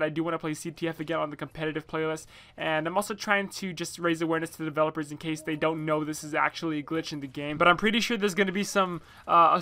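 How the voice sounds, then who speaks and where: A man's voice: a little high, bright, forceful tone. A young man with a stuffed-up nose, rambling apologetically through a gaming commentary voice-over with many fillers. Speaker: gaming YouTuber.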